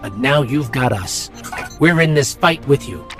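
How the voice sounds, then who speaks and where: A man playing an earnest, caring, curious robotic companion in a sci-fi video game, gentle and inquisitive. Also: mid-range voice, lively intonation, measured pace, over music, natural voice. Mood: supportive. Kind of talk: video game character dialogue.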